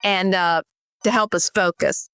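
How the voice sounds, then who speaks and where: A woman's voice: lowish voice, steady tone, measured pace, a bit bright, clear, resonant tone, dry recording. Woman host, dry sound. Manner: friendly, rambling, self-correcting. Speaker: podcast host. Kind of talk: casual interview conversation.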